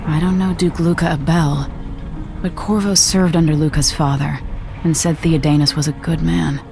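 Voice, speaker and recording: Low-voiced resolute young empress. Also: female voice, steady tone, normal speed, gravelly, over music. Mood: determined, pensive. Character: resolute young deposed empress, sober and guarded. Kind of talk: introspective game narration.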